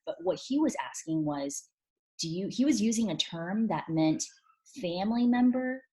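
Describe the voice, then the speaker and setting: A woman whose first language is Mandarin Chinese, a former consular officer turned immigration attorney, answering interview questions in a measured, explanatory way with frequent fillers. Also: medium pitch, animated, mellow, poor audio.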